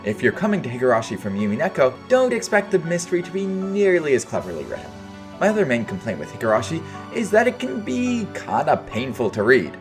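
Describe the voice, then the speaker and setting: Man narrating a review, lively pitch swings. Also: fairly quick, music in the background. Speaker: video game reviewer.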